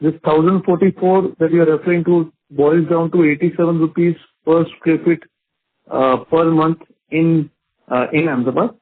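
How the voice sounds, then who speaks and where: A man's voice: on the high side, fairly even intonation, moderate pace. A man, a company executive patiently answering an analyst's questions on an earnings call, saying "sir" often. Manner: polite, calls the analyst sir, patient explanations with fillers. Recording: dry phone-line conference call.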